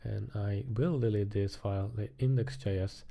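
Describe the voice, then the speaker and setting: A man's voice: lowish voice, fairly even intonation, normal speed, gravelly, mellow. A man live coding in a casual way, thinking aloud and muttering hesitantly to himself.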